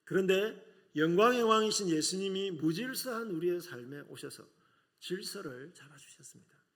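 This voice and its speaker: A man's voice: high voice, animated, normal speed. A middle-aged man with a regional Korean accent preaching a sermon to a congregation, earnest, with rhetorical questions. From South Korea, outside Seoul. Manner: earnest, rhetorical questions, frequent filler "yes". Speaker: preacher, seminary professor.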